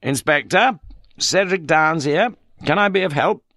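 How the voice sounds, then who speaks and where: A man's voice: a little high, unhurried, dry recording, studio quality, pitched above the performer's own voice. A man voicing a reserved, unemotional middle-aged male scholar on the phone in an audiobook. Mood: flat. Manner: flat, unemotional.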